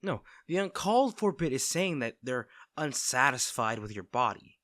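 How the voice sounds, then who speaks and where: Male actor for devoted best friend in audio roleplay; caring, teasing, conversational, lively intonation, dry sound. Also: medium pitch, normal speed, no echo. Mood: indignant. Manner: fussing, tender, conversational. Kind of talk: soft-spoken scripted roleplay audio drama.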